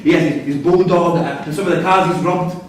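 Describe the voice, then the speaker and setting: Male actor for chatty, droll convict raconteur, higher register, distant and reverberant stage recording. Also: steady tone, a little fast, natural voice. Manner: droll, gossipy storytelling. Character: wry, chatty male prisoner telling anecdotes. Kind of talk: staged reading of a prison comedy-drama. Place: theatre room at a live staged reading. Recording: distant, very echoey hall, faint noise.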